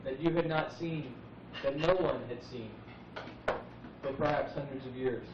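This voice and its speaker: A man in his forties speaking as a poet at an open mic, musing reflectively and unhurriedly with dramatic pauses. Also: medium pitch. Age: 40s. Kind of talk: live spoken-word musing. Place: live open mic venue, roomy. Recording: muffled noisy VHS recording, echoey, distant.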